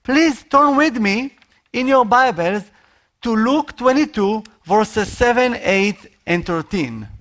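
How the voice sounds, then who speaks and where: A man from Israel with an Israeli accent, a missionary preaching a sermon in an earnest, expressive way. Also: very high-pitched, slow pace.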